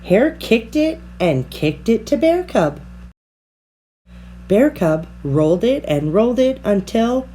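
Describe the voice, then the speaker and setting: A woman reading a children's story aloud with expressive, deliberate narration. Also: fairly high pitch, a little hiss or hum. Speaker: storybook reader.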